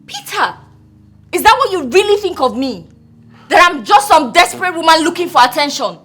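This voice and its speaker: An actress playing a weary, wounded but defiant young wife in a screen drama, speaking with emotional exasperation. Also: female voice, very high voice, sing-song, normal speed, fairly bright tone, full character voice. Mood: hurt, fed up.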